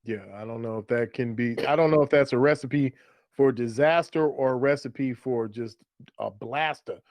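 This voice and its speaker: A man's voice: mid-range voice, moderate pace, a little mellow, no room sound. A man co-hosting a fantasy football show in a casual, conversational way, posing questions. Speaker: fantasy football podcast co-host. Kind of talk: casual sports chat.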